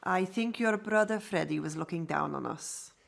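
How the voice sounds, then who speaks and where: An actress in a radio drama playing a gentle, devoted circus performer. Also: female voice, lowish voice, normal speed, creaky. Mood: wistful.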